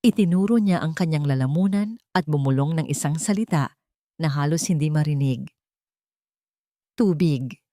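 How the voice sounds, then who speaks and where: A woman narrating a scripted dramatic story in measured short phrases, pausing for effect. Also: sits low, dark tone, dry recording, studio quality.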